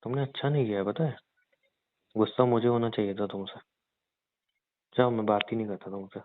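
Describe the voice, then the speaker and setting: A man's voice: fairly low pitch, fairly quick, natural voice. Man playing a pleading young husband, phone-like sound. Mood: hurt, sulky. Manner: coaxing, insistent, aggrieved. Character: pleading, sulky young husband wooing his wife. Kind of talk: staged intimate phone-call style conversation. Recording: thin, phone-like narrowband audio.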